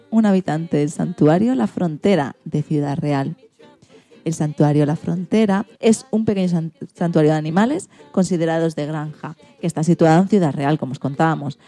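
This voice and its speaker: A woman's voice: low voice, warm tone. A woman from hosting a radio show, reading a script with touches of light chat.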